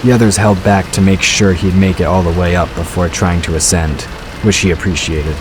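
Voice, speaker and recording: A man with a very low voice narrates a scripted story. Rain and vehicle sounds are clearly audible behind him as he reads. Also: fairly even intonation, normal speed, noisy background. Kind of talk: scripted audiobook-style narration. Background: rain and vehicle sound effects.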